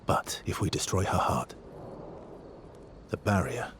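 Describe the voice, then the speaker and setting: A man acting as a mysterious, wry veteran traveler in a video game, speaking in a measured, understated way. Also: low voice, lively intonation, fairly bright tone, slight background noise. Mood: grave, explanatory. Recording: music under dialogue. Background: game music.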